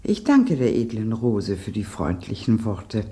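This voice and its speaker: Fancy resigned soup for radio play, slow, mellow, very swoopy formal delivery. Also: medium pitch, big pitch swings. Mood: resigned, dignified. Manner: stately, formal, world-weary. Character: elegant, resigned cream soup bidding farewell. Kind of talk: German radio play acting.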